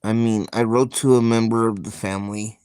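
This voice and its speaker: A man chatting on his live stream, thinking aloud slowly and softly and pausing often. His voice sits low and stays fairly flat. The sound is dry and close, with no room around it. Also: clear, resonant tone, no room sound. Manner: slow, soft-spoken, reflective, with frequent pauses and fillers. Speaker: livestream host. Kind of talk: casual live stream chat.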